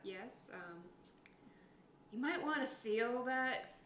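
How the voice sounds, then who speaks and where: Bright voiced lady playing tabletop rpg, takes her time talking, echoey room. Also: fairly high pitch, animated, takes it slowly. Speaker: tabletop game player. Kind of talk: casual chat during a game. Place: indoor room. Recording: echoey room with faint noise.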